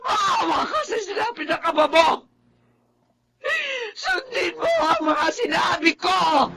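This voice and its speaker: A woman's voice: very high-pitched, sing-song, slow, creaky, raised voice, exaggerated voice. A woman playing a bitter, vengeful middle-aged widow in a radio drama, scolding harshly and tearfully. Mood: grieving rage. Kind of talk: melodramatic radio drama acting.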